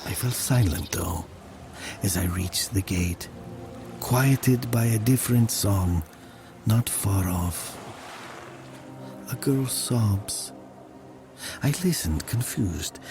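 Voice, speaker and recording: Low, slow, gravelly male storyteller. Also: over music. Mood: somber, haunted. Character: brooding male village murderer recounting his dark tale. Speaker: narrator. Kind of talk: dramatic first-person fable narration.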